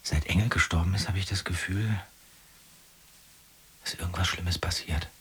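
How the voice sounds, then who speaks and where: An actor in a radio drama playing a troubled, weary young man, with a husky, breathy voice and a halting, confiding manner. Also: sits low, steady tone, a bit bright, heavily acted. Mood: anxious, confiding. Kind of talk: radio drama acting, intimate dialogue.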